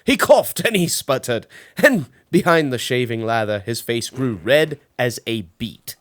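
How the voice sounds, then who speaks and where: A man reading a children's storybook aloud as an expressive narrator. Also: medium pitch, a little slow, no room sound. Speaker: storybook reader.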